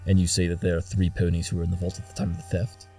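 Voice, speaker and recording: A man voicing a coolly arrogant, analytical pony detective, curt and measured, in an audio drama. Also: low-pitched, monotone, mellow, breathy edge, faint music behind. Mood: commanding. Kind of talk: audio drama character acting.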